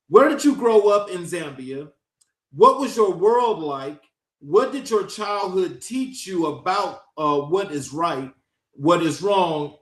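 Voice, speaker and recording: A male interview host with a fairly high voice greets the audience and lays out his questions step by step. His voice echoes off the room around him. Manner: welcoming, asks long structured questions. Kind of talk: interview hosting.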